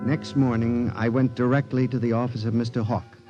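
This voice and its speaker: A man's voice: medium pitch, level tone, a little fast, loud music behind the voice, natural voice. An actor playing a frantic man who believes machines are alive, in 1950s radio drama. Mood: grim. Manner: narrates then pleads in rising panic. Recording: dull old radio broadcast.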